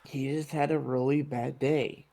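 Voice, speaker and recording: A man speaks slowly in casual chat, his voice mellow and full of fry. He mostly offers short reactions as a co-host. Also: medium pitch, little pitch movement.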